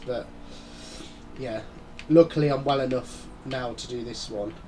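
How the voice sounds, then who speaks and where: A man talking his way through a race in a rambling, chatty way, muttering asides at the game as he drives. His nose is blocked from a head cold, so he sounds stuffy and breathes through his mouth. His voice sits at an ordinary middle pitch, with a faint hiss of noise underneath. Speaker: gaming channel host. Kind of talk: casual gameplay commentary.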